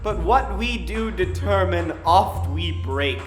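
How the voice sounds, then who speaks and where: A woman playing an ailing, solemn old king, reciting rhymed Shakespearean verse in a measured, stately manner. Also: lowish voice, lively intonation, roomy sound, faint music behind. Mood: solemn, resigned. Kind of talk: formal Shakespearean verse declamation.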